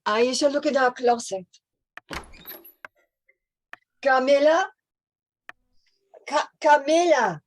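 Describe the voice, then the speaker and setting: An actress playing a stern, scolding older madame of a household in a radio drama. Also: female voice, sits high, a little slow. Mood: worried.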